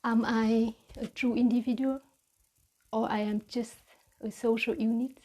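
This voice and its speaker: A woman with a Vietnamese accent giving a reflective mindfulness talk as a meditation teacher, with halting, deliberate phrasing. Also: a little high, level tone, mellow.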